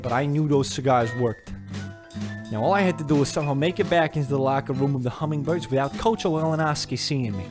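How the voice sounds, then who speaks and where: High-pitched male actor as a dim, boastful ballplayer, earnest and folksy, old radio comedy, faint music behind. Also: lightly acted. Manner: earnest folksy storytelling, halting when bluffing. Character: hapless, boastful dim ballplayer posing as a Cuban.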